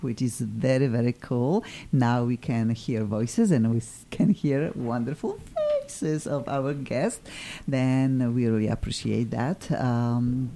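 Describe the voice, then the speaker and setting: A Polish-accented woman hosts a live radio talk show, her voice dark and mellow in tone. She gets excitable, with big sudden jumps in pitch, and her English phrasing is a little broken. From Poland.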